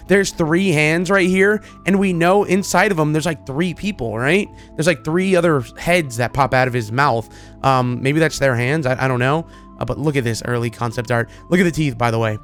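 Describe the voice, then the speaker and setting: Higher-pitched man, enthusiastic gaming commentator. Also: fairly bright tone. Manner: informal, enthusiastic, rambling. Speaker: gaming video creator. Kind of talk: casual excited commentary on pictures.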